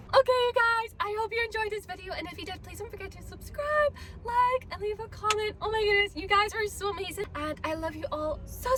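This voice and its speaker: Young woman, high-pitched, giggly and gushing vlogger, faint background hiss. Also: a bit bright. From Scotland. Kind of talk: casual chat to camera.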